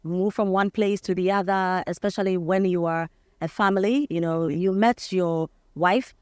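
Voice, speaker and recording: A woman's voice: on the low side, steady tone, normal speed, darkish tone, clear, resonant tone, no room sound, clean studio sound. A woman in her thirties with a Ghanaian accent, chatting as the host of a live television interview. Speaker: TV breakfast show host. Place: outdoor terrace set.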